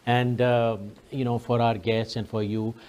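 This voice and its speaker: A man's voice: mid-range voice, flat delivery, measured pace, darkish tone. A middle-aged man from Pakistan with a Pakistani accent, speaking courteously as an interview guest and telling his own story conversationally.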